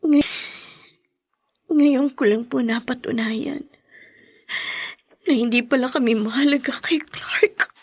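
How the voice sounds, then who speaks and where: A woman playing a hurt, resentful young wife in a melodramatic audio drama, speaking emotionally and haltingly. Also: high-pitched, breathy edge, dry recording, studio quality, exaggerated voice. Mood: tearful hurt.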